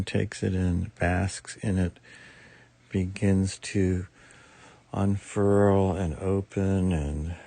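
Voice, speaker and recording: A man leading a guided meditation as teacher, speaking soothingly in short, unhurried phrases with long pauses. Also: deep voice, vocal fry, dark tone, no room sound. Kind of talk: guided meditation, improvised soft instruction.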